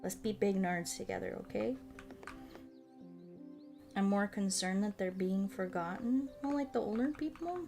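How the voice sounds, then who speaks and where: A woman in her late twenties chatting informally as a livestream host, rambling and animated, with loose, sweary language. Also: mid-range voice, a little slow, creaky, long pauses, over music. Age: late 20s. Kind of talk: casual chat while playing a game, reading and answering chat.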